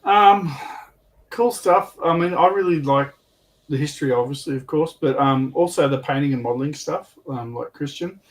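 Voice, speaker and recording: A man's voice: a little high, moderate pace. A man chatting casually as a panelist. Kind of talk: casual hobby chat. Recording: distant from the microphone.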